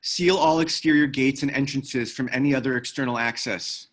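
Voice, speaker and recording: A man's voice: medium pitch, moderate pace, fairly bright tone, close to an everyday voice. A man playing a terse, guarded ex-military operative in a screenplay table read. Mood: terse, commanding.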